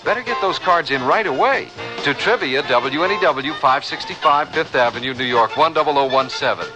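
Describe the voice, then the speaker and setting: High-pitched male radio promo announcer. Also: a little fast, rough recording. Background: music.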